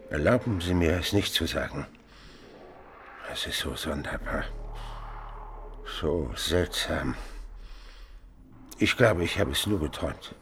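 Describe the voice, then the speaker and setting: An actor playing a frightened, muddled peasant suspect in a period radio play, halting, repetitive and servile. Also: male voice, very deep voice, a bit bright, a little hiss or hum, full character voice. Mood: fearful, evasive.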